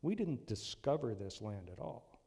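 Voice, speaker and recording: A man in his sixties giving an earnest, measured sermon from a church lectern, with frequent ums and restarts. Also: mid-range voice, big pitch swings, gravelly, warm tone, slightly rough. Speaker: lay preacher. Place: church sanctuary. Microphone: lectern mic. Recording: long hall reverb in a church.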